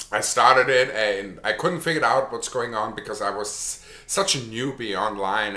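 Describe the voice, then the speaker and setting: A middle-aged man with an Austrian accent talks casually about his own path, often restarting sentences with a string of uh's. His voice has a bright, forceful edge at a mid pitch. The room around him is noticeably echoey. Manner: chatty, repeats words and restarts with many uh's. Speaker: online marketer. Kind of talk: casual personal story to camera.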